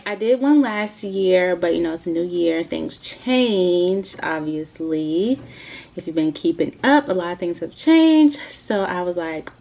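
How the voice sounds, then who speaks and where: A woman's voice: fairly low pitch, gravelly, a little mellow, highs cut off, slight background noise. A woman in her twenties chatting casually to the camera for her video Q&A, rambling with lots of fillers. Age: 20s. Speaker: YouTuber. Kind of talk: casual Q&A vlog.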